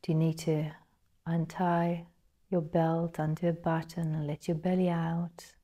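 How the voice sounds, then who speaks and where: A woman guiding a meditation in a soft, breathy, partly whispered voice, measured and full of pauses. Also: low voice, flat delivery. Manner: slow, measured, pausing between phrases. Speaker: meditation guide. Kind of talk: guided meditation narration.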